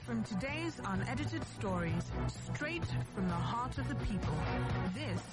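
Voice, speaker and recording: High-pitched woman promo voice, loud music. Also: big pitch swings, measured pace. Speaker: news jingle announcer. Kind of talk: scripted radio news promo.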